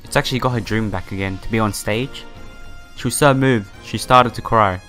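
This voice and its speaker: A man's voice: fairly low pitch, moderate pace, sharp tone. Casual man commentating over game music. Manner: informal, addresses viewers directly. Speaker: let's play host. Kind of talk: casual video game playthrough commentary, reading story text aloud. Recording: music playing behind the voice.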